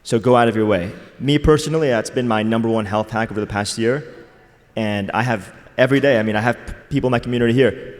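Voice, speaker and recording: A man's voice: medium pitch, fast. A man speaking as a panelist at a conference, direct and motivational, with casual fillers. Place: conference hall. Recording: long reverb of a conference hall.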